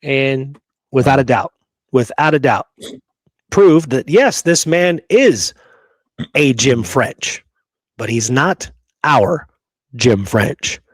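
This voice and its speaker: A man co-hosts a history talk show in a conversational, debunking style. His pitch is average and the sound is dry. He stresses key phrases by stretching them out and often puts rhetorical questions to his listener. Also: animated, slow, pauses often, breathy edge, no echo. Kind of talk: conversational debunking discussion of an old photograph.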